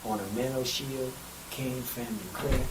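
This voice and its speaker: A man's voice: mid-range voice, level tone, a little slow, distant mic, background noise. A male streamer joking and commenting theatrically during a live game stream, often mimicking voices.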